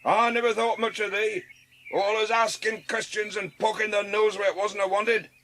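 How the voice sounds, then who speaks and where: A man's voice: high voice, flat delivery, normal speed, sharp tone. A man playing a grumpy old gardener with a Yorkshire accent, grumbling indignantly in an audiobook drama.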